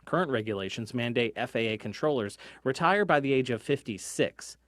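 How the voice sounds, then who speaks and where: A man's voice: mid-range voice, expressive, brisk, dry recording, studio quality. A man narrating a scripted television news report.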